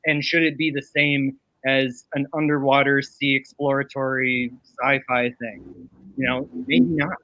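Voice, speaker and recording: Close, dry and a little dull in sound, a man muses through a casual panel discussion. His pitch is average for a man, and he repeats phrases to drive a point home. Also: level tone, unhurried, pushed and bright, no echo. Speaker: composer, panel guest.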